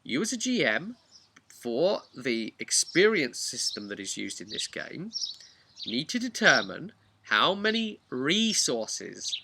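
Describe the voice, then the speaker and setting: A man giving an informal, animated, chatty explanation of tabletop roleplaying rules. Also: mid-range voice, background noise. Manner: animated, chatty, acts out imagined player remarks. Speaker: tabletop game master giving advice.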